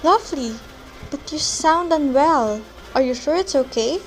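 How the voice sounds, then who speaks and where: A polite, agreeable young woman neighbour played by a teenage girl, with a high voice. The delivery is amateur one-act play acting. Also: lively intonation, a little slow, soft background music, rough recording. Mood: pleasant.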